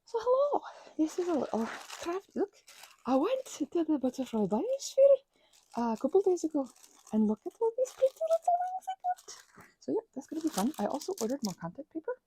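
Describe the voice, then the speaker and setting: A woman in a rambling, soft-spoken ASMR craft chat, talking in a playful put-on Scottish accent and drifting between quiet talk and whispers. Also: extremely high pitch, huge pitch swings, brisk, slight background noise, low-quality recording.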